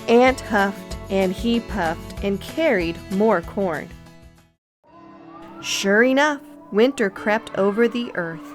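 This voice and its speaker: A woman storyteller narrating a children's picture book in an expressive, deliberate way. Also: medium pitch.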